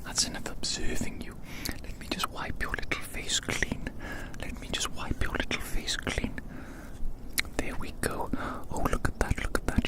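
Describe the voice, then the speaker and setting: A gloating giant speaks in a breathy whisper with no voiced tone, as in an ASMR roleplay. The delivery is playfully menacing and savouring, with phrases repeated as the giant toys with tiny prey. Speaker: ASMR performer.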